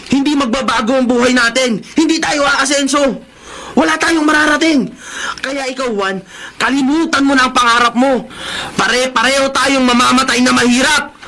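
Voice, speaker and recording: Sharp, high-pitched mocking Filipino slum boy. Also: a little hiss or hum, full character voice. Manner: taunting, fatalistic. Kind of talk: Filipino radio drama acting.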